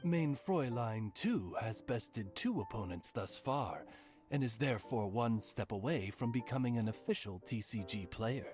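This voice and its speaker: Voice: mid-range voice, animated, moderate pace, over music, close to an everyday voice. An actor voicing a dignified male raven familiar in an anime game, speaking as a formal, courteous, loyal butler-like attendant. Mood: composed.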